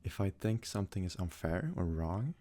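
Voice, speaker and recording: A young man from Sweden, a native Swedish speaker, talks casually in a soft-spoken, hesitant ASMR style as he answers questions. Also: low-pitched, animated, normal speed. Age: young adult. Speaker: ASMR creator.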